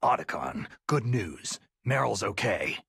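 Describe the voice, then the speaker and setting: An actor playing a gruff, battle-weary American soldier hero in a video game, gravelly, terse and protective. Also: male voice, on the low side, big pitch swings, measured pace, heavily acted. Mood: grave urgency. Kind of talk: dramatic video game cutscene acting. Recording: long reverb, loud music behind.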